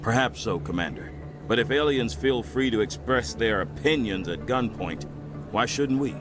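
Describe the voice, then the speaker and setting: An actor playing an eager, polished, stubbornly nationalist politician in a video game, speaking in an oratorical, politely insistent way. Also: male voice, medium pitch, lively intonation, moderate pace, close to an everyday voice. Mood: assured, argumentative. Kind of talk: video game dramatic dialogue. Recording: music behind the voice.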